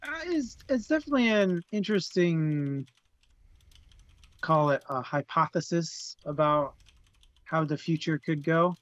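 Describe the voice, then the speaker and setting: Man, relaxed book chat, slightly higher voice, slow, dull lo-fi mic, keyboard clicks. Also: no room sound. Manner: laughs often, hesitant with fillers. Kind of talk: casual book discussion.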